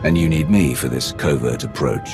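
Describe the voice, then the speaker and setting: A man's voice: rumbling low, normal speed. An actor playing a blunt, wary male Sith warrior who speaks tersely and directly. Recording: game cutscene over loud music.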